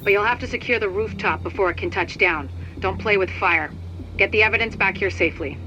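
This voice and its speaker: An actor playing a brisk, businesslike military officer directing agents by radio in a video game. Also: male voice, high-pitched, dull audio, background noise, lightly acted. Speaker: mission coordinator.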